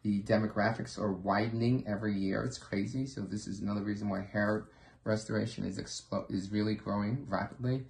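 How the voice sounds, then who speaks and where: A man giving an informal instructional sales pitch, speaking earnestly and emphatically, repeating his points and asking rhetorical questions. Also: fairly even intonation, fairly quick, vocal fry, a little mellow. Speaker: presenter training hair-loss clinic professionals. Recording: echoey, roomy sound.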